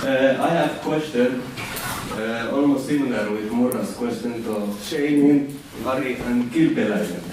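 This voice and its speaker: A man's voice: medium pitch, moderate pace, background noise. A man from Finland in the audience, asking an earnest, emphatic question. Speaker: audience member. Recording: very echoey hall, far from mic.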